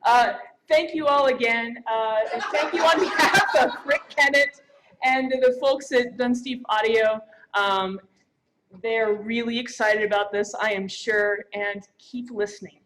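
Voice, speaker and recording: A woman's voice: fairly high pitch, unhurried. A woman giving a casual live acceptance speech on stage at an event. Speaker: accepting an award for others. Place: convention stage. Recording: noisy live event microphone.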